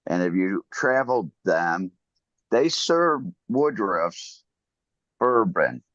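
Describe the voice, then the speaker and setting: Slow low-voiced guy, lots of uhs, dry muffled lo-fi mic. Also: sing-song, a bit bright, dry recording. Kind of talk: casual chat. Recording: dull, dry lo-fi remote mic.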